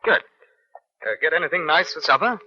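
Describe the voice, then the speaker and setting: Bright, forceful British police inspector. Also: male voice, fairly high pitch, expressive, a little fast, slightly rough, full character voice. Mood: wry, watchful. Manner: clipped commands, dry understatement. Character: brisk, wry, gallant British police inspector. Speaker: lead actor and narrator. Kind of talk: 1950s radio crime drama acting. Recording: muffled old radio recording.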